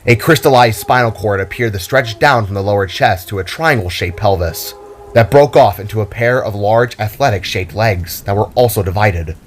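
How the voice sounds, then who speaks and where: A man's voice: on the low side, moderate pace. A man narrating a scripted fantasy story in a dramatic storytelling style.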